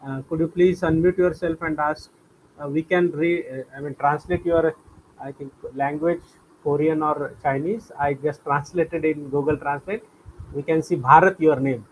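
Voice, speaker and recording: A man moderating a webinar over a video call, quick and helpful, fumbling slightly, heard from a distant mic. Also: on the high side, steady tone, slight background noise.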